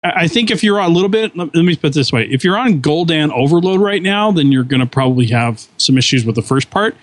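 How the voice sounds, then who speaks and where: A man's voice: fairly high pitch, expressive, fast, no echo. Chatty man with a dry close sound. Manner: enthusiastic, rambling with frequent restarts. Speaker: podcast co-host. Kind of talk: casual podcast chat.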